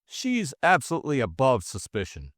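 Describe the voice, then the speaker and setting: A man's voice: medium pitch, huge pitch swings, moderate pace, a bit bright, dry recording, clean studio sound, pitched above the performer's own voice. A man voicing a hot-headed, loyal young upper-class Englishman in grumbling, earnest audiobook dialogue.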